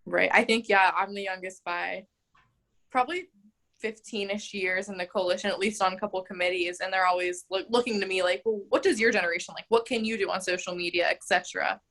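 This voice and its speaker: A woman's voice: medium pitch, fairly even intonation, a little fast, a bit bright. A woman in her twenties, a mayoral candidate, answering interview questions in a chatty way full of "like" and "um.". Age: 20s. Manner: chatty, frequent "like" and "um", laughs easily.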